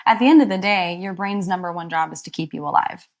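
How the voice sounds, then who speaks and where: Young adult woman, therapist guest, reflective, slightly low, fry in the voice. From Egypt. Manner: soft, thoughtful, many pauses and ums. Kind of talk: reflective interview talk.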